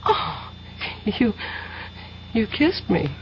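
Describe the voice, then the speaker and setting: Slow-spoken romantic heroine. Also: female voice, medium pitch, very animated, full character voice. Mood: flirtatious. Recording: muffled old radio broadcast with hiss.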